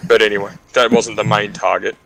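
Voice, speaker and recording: A man's voice: fairly low pitch, level tone, a little fast, vocal fry, a crowd in the background, rough recording. A man bantering casually with friends on voice chat, teasing and repeating his phrases. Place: online voice chat.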